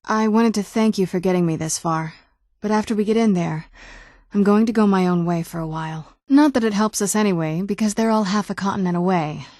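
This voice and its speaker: A woman's voice: mid-range voice, fairly quick, darkish tone, dry recording, clean studio sound, lightly acted. A young woman playing an ancient but youthful vampire noblewoman in a video game, speaking in a wry, understated, conversational way. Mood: dry, guarded. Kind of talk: video game character dialogue.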